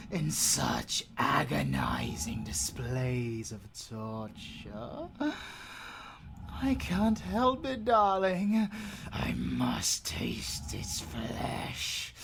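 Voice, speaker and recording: A man's voice: a little high, very animated, extremely slow, gravelly, noisy background, heavily acted. A British man playing a bloodthirsty, theatrical werewolf villain in an audio roleplay, purring and swinging between whispers and cries. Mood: depraved relish.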